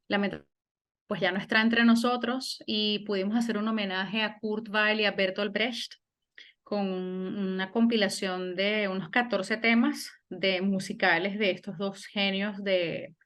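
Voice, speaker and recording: A middle-aged woman from Venezuela, a singer and language teacher, speaks in a relaxed interview. Her voice sits at an ordinary middle pitch, and she talks in a reflective way, often pausing and restarting as she gathers her thoughts. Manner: reflective, many restarts and fillers. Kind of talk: conversational interview.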